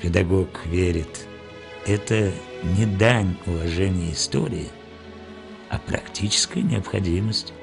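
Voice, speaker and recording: A man reading scripted documentary narration in a measured, formal way. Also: low-pitched, vocal fry. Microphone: studio voice-over. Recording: voice-over with music underneath.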